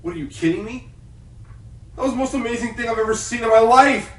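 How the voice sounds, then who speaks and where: Man acting high-voiced, idealistic young pony reporter. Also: flat delivery, quick pace, recorded from a distance, slight background noise. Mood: excited. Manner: dramatic, fervent. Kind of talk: radio play acting. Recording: echoey room, off-mic.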